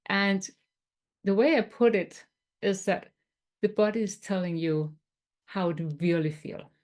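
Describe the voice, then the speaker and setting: A woman from Denmark with a Danish accent, teaching tapping in a webinar in a gentle, explanatory way with some non-native phrasing. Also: medium pitch, a little slow. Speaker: tapping coach.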